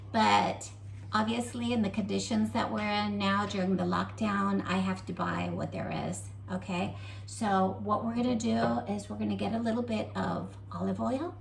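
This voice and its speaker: Chatty American woman with gravelly voice. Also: mid-range voice, slight background noise. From United States. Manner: chatty, tags sentences with "okay?". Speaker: club activity host. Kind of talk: home cooking demonstration. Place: home kitchen.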